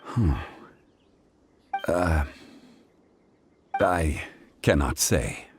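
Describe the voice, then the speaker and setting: A man voicing an ancient, weary, dignified god in human form for a video game, speaking in a measured, grave, formal manner. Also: animated, a little hiss or hum, exaggerated voice. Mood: reserved, regretful. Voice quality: deep. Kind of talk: dramatic video game dialogue. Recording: game audio with background music.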